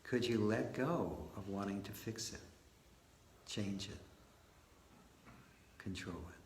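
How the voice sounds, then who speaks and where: A man with a fairly low voice leads a guided meditation in a roomy, echoing space. He speaks very slowly, offering short, gentle invitations as questions and leaving long pauses between them. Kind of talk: guided meditation led live, slow and spoken in short phrases. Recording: roomy echo with faint background noise.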